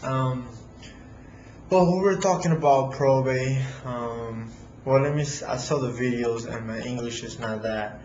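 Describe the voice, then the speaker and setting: A man with a Latin American Spanish accent, a native Spanish speaker, giving a casual how-to talk at his home computer, halting with many fillers and restarts. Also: medium pitch, darkish tone, echoey room, lo-fi sound, slight background noise. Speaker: real estate investor vlogger.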